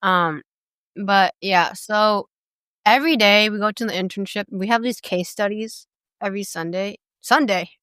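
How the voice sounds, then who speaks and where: A woman's voice: mid-range voice, moderate pace, fairly bright tone, clean tone, no echo. A woman from the United States hosting a casual chat, rambling and giggly, with lots of "like". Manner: rambling, giggly, heavy use of "like". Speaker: podcast host.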